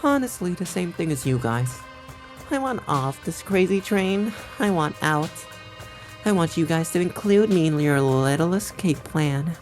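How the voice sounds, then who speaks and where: A woman playing a sly, cocky turncoat schemer in a visual novel game, with smug, teasing, drawn-out delivery. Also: fairly low pitch, darkish tone. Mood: insistent.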